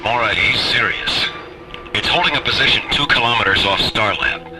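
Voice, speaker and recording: An actor playing a male space station officer in a radio drama, crisp and dramatic. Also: on the low side, moderate pace, heavy background noise, lightly acted. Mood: awed.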